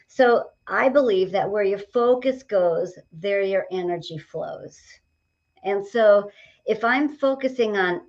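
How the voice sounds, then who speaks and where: Woman, late 60s, energetic motivational coach, upbeat storyteller, slightly dull lo-fi sound. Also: lowish voice. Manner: energetic, upbeat, talks in stories and examples. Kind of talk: podcast conversation.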